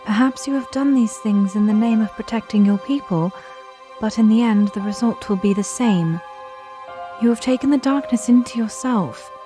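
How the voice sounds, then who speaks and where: Woman playing a grave regal young lady, formal and mellow, audio drama with faint music. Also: on the high side, moderate pace, natural voice. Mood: grave, reproachful. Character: solemn, regal young noblewoman, gravely reproachful.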